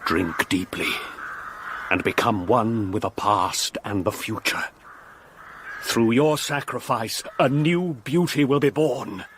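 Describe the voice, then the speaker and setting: An actor playing a grandiose, fanatical murderer in a radio play, speaking in solemn, incantatory phrases. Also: male voice, mid-range voice, slow, noisy background, lightly acted. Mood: ritual, hypnotic. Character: grandiose, fanatical murderer luring his child victim.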